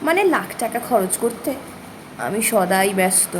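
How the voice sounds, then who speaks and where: A woman's voice: medium pitch, lively intonation, moderate pace, background noise. A woman giving an informal reflective monologue, chatting expressively and addressing listeners directly with rhetorical questions. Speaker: spoken-word content creator.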